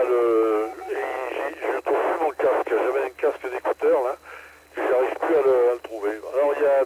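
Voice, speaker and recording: Older ham radio guy checking in, rambly, trips over his words, noisy radio hiss behind him. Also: medium pitch. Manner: rambling, halting, repeats words. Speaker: radio amateur calling in. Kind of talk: casual on-air chat.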